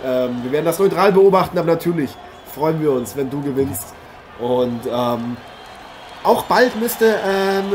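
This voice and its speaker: Male actor as eager sports commentator, chatty interviewer, somewhat high pitch, halting polite delivery, radio play, noisy ambience. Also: lightly acted. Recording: noisy, with arena ambience behind.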